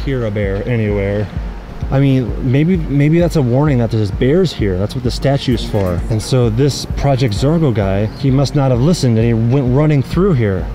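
An excitable young man talks through an outdoor adventure vlog, with bursts of shouting when something startles him. He has a mid-pitched voice, caught on a handheld camera mic out in the open. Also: normal speed, background noise. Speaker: vlog co-host. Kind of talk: scripted adventure vlog chat. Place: outdoors in a desert garden.

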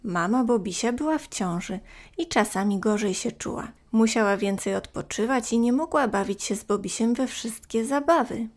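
A woman narrating a scripted story for young children in a gentle, expressive, clearly phrased way. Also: on the high side, moderate pace.

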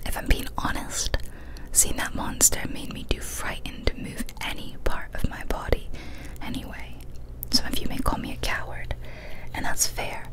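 A young adult woman reads a horror story as ASMR in a breathy whisper, careful and deliberate, close to a studio microphone. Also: poor audio. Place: small cozy indoor room. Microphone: close studio condenser mic on a boom arm.

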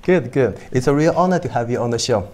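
A man's voice: medium pitch, expressive, quick pace, darkish tone. A man in his thirties with a Chinese accent hosting an interview in English, prompting and finishing his guest's sentences. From China. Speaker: host. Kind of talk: interview host. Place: office, indoors.

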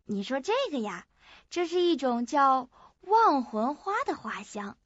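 A woman's voice: high-pitched, sing-song, a little slow, husky, dry recording, full character voice. An actress in an audio drama playing a sweet, forgetful young woman with a naive, hesitant way of talking. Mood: innocent, earnest. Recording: muffled lo-fi audio.

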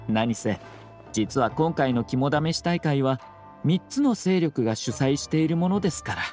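Man as a polished young game aristocrat, lightly high male voice, lively lilting intonation, formal, measured, slyly teasing. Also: faint music behind, natural voice. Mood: composed explaining. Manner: polite, formal, measured, sly. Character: courteous, composed young nobleman, subtly teasing. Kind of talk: video game anime voice acting.

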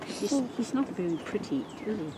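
A woman's voice: mid-range voice, big pitch swings, moderate pace, mellow. A middle-aged woman chatting casually with family outdoors, picked up by a handheld camera. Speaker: grandmother on a family outing. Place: outdoors on a park boardwalk by water. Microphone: handheld camera microphone. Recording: very noisy outdoor camcorder audio.

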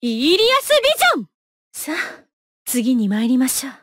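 A young female knight from a fighting game, with a high voice that swings widely in pitch. She is haughty and coolly ruthless, polite in wording but imperious in delivery. The recording is dry and close, with clipped battle lines. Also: sing-song, a little fast, a bit bright, husky, dry recording, heavily acted. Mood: confident, taunting.

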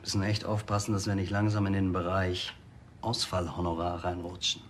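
Ein Schauspieler spielt einen jovialen Musikmanager Anfang fünfzig, kumpelhaft und verkäuferisch. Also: male voice, low-pitched, completely flat delivery, moderate pace, a little hiss or hum, lightly acted. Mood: slick, businesslike. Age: 50s. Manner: jovial, salesy. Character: smooth, chummy music manager in his early fifties. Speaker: artist manager.

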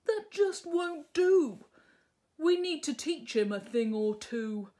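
A woman's voice: high-pitched, animated, slow, mellow, pitched above the performer's own voice. A woman voicing an exasperated, scheming dragon from a storybook, in an exclamatory, theatrical way. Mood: indignant, conspiratorial. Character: fiery dragon, exasperated, gleefully scheming ally. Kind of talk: storybook character reading.

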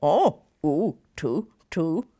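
A woman's voice: sits low, animated, very slow, dark tone, full character voice. A woman ventriloquist voicing a cheeky, stubborn monkey puppet who argues bluntly and swears in improvised comedy. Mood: cheeky, grumbling.

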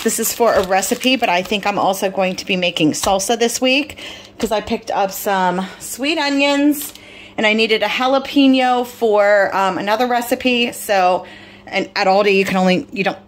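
A woman's voice: mid-range voice, a little hiss or hum. A middle-aged woman vlogger, tired, chatting casually through a grocery haul with lots of fillers. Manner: rambling, filler-heavy, apologetic.

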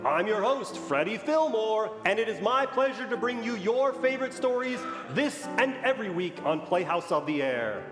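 A man's voice: sits high, very animated, normal speed, few pauses. A man playing a grand, welcoming host of a 1940s radio show. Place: large church stage before an audience. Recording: echoey hall, music behind.

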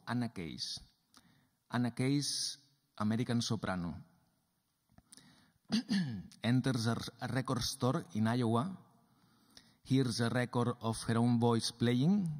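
Brief: man in his thirties lecturing deliberately from a stage into a stand mic, roomy hall reverb. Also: mid-range voice, darkish tone. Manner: deliberate, repetitive phrasing. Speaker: lecturer and performer. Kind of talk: lecture performance. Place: dark theatre stage, seated at a table.

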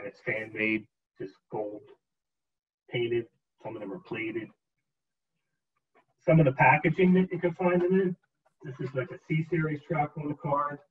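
A man in his forties giving a casual show-and-tell seminar from home on a video call, relaxed and explaining as he holds items up. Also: medium pitch, husky. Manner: relaxed, explains while holding up items, frequent ums. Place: home dining room with a glass cabinet. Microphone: webcam on a video call. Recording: muffled video-call audio.

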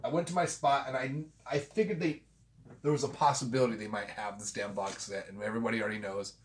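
A man's voice: medium pitch, steady tone, quick pace, a little hiss or hum. Chatty tipsy man rambling at his desk. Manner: loose and chatty, swears freely, tipsy. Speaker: vinyl collector channel host. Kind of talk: casual rambling vlog. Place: small home room with framed pictures and a guitar.

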